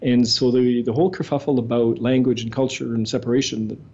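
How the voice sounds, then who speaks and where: An older man from Montreal, a native French speaker, tells his story as an interview guest with an articulate, steady flow. His voice is dark and mellow, and the recording sounds dull. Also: medium pitch, a little fast, husky. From Montreal, Quebec. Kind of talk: interview answers.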